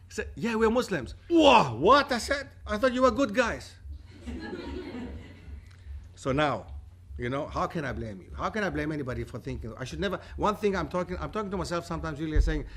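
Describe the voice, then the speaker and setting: A man in his forties from Germany gives a university lecture and takes questions from the audience. He talks in a lively way, gestures with both hands, and slips into stories as he speaks into a table mic in a lecture room. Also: on the high side, slight background noise. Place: lecture room with projection screen. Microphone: table mic on a stand.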